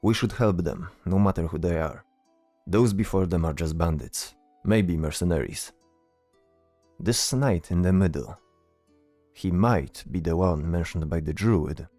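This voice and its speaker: A man's voice: deep voice, unhurried, dark tone, no room sound, soft background music. A man playing an earnest, dutiful soldier in a fantasy audio drama, terse and serious. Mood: resolute.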